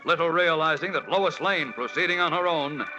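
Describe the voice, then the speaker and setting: A man's voice: fairly high pitch, moderate pace, over loud music, rough recording. A man narrating a 1940s radio serial as announcer, theatrical and full of suspense. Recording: vintage radio broadcast recording.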